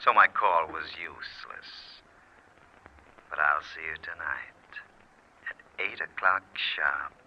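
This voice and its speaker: A man's voice: very deep voice, slow, long pauses, heavily acted. An actor playing a sadistic, mockingly polite murderer in an old-time radio thriller, toying with his victim in silky mock concern. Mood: silky threat. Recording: muffled, noisy vintage radio recording.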